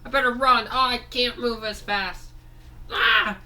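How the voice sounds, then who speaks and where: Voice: mid-range voice, sing-song, measured pace, creaky, sharp tone, distant mic, a little hiss or hum, pitched above the performer's own voice, heavily acted. A storyteller voicing a whiny, easily scared toy robot man who yelps when burned. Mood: panicked. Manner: yelping, melodramatic.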